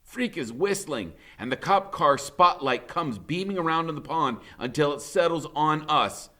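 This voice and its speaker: Very animated, forceful male storyteller. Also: fairly high pitch, normal speed. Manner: animated, suspenseful, emphatic. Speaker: teacher reading a novel aloud. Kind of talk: dramatic first-person storybook narration.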